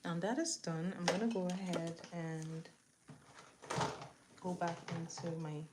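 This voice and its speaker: A woman's voice: lowish voice, fairly even intonation, measured pace. A woman from eastern Nigeria, chatting casually to viewers and giving step-by-step instructions as she hosts a makeup tutorial. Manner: chatty, instructive, talking to viewers while working. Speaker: makeup tutorial host on a livestream. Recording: clear background noise, room ambience.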